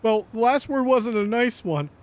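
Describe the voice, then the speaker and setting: A man's voice: extremely high pitch, animated, moderate pace, pitched above the performer's own voice. A man voicing an anxious male office colleague who pleads earnestly. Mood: pleading.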